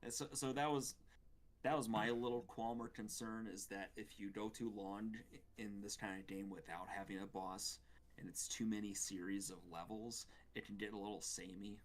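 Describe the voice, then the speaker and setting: A man speaking as a co-host in a casual review chat, giving measured, hedged opinions. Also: on the low side, steady tone. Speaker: gaming show co-host.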